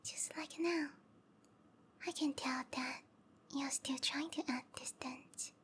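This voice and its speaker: A woman voicing a sweet, obsessive snake-girl lover in a breathy, cooing whisper for an intimate ASMR roleplay. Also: high voice, fairly even intonation, slow, fairly bright tone, a little hiss or hum. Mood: tender, possessive. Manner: cooing, tender, possessive.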